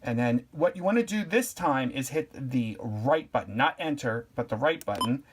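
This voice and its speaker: A man from Pennsylvania hosting a casual how-to video, giving relaxed, plainspoken step-by-step instructions. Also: medium pitch, normal speed, background noise. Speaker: product reviewer and tutorial host.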